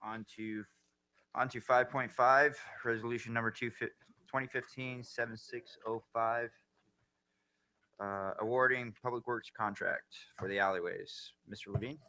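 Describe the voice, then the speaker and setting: A man's voice: medium pitch, fairly even intonation, normal speed, bright, forceful tone. A man chairing a city council meeting, calling speakers and votes in a plain procedural way with fillers.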